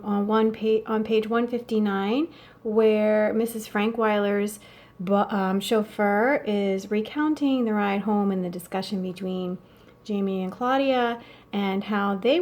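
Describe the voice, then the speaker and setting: A woman's voice: medium pitch, darkish tone. A woman teacher speaking casually as she guides students through a novel read-aloud.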